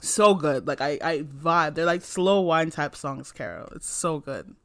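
A man's voice: a little high, unhurried, fairly bright tone, no echo, clean studio sound. A young man co-hosting a podcast from home, chatting casually and enthusiastically with plenty of filler words. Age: young adult. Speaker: podcast co-host. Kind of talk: casual chat.

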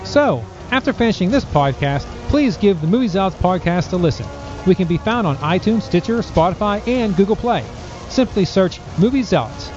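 A man's voice: sits high, animated, moderate pace. A man reading a scripted promo as a podcast host. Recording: dull audio over loud music.

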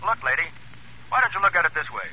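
An actor playing a dismissive, wearily impatient New York police desk sergeant in a 1940s American radio thriller. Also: male voice, sits high, expressive, fast, sharp tone, breathy edge, heavily acted. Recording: muffled vintage radio recording, telephone drama.

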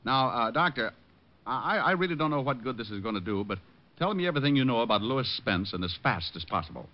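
Radio detective male actor: American, suave, quick-witted, impatient, lively swings in pitch, muffled old broadcast sound. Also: close to an everyday voice. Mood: urgent. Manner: wry, clipped, urgent. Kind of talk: 1940s-50s radio drama acting. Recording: dull vintage radio recording.

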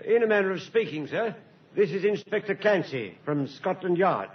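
A keen male police sergeant in an old radio play, high-pitched and deferential. He sounds a little away from the microphone. The recording is muffled. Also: lively intonation, moderate pace, far from the mic. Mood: keen, respectful. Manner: polite, deferential reporting. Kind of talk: 1950s radio drama acting.

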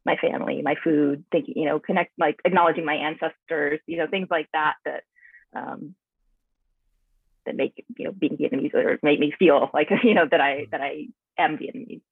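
A woman's voice: deep voice, fairly even intonation, moderate pace, vocal fry, slightly rough, no room sound. Thoughtful woman on a tinny line. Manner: thoughtful, searching for words. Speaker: naturalist and author, interview guest. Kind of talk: reflective interview answers.

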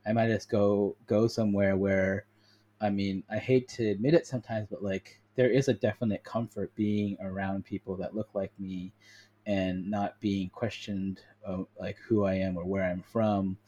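A man's voice: lowish voice, level tone, moderate pace, mellow, highs cut off. An American man chatting casually as an interviewer, candid and reflective, feeling his way through his thoughts. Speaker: podcast host, interviewer. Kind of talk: casual chat.

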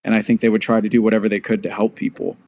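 Very fast-talking man, flat tone. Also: fairly low pitch. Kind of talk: casual panel discussion. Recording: dull audio with faint noise.

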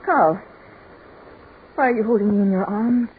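An actress playing a frightened, pleading woman in a 1940s radio horror drama, with halting, theatrical delivery. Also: mid-range voice, narrow, tinny audio, close to an everyday voice. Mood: puzzled. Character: Linda, frightened woman, pleading and easily overcome by terror. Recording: noisy, band-limited 1940s radio recording.

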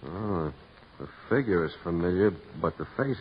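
Swooping, flippant stage comic. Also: male voice, low voice, expressive, moderate pace. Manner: wisecracking, showbiz slang. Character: flippant, needling male stage comedian, cynical showman. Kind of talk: 1950s radio crime drama acting. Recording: muffled old radio recording, faint noise.

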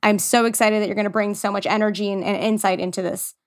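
A woman hosting an interview podcast, talking with quick enthusiasm. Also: mid-range voice, fairly even intonation. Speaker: podcast host. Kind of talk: casual interview chat.

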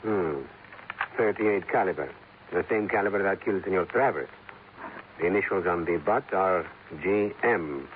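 An actor playing a courteous, methodical Venezuelan police captain, formal and deliberate, in 1950s radio drama style. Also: male voice, low-pitched, lightly acted. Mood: methodical, satisfied. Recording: narrow-band 1950s radio recording, faint noise.

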